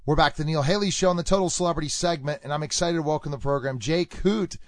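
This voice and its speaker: A man hosts a celebrity radio segment and talks a little higher than most men. His introductions come out brisk and upbeat. The studio sound is dry and close. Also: dry recording. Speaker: radio show host. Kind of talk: celebrity phone interview.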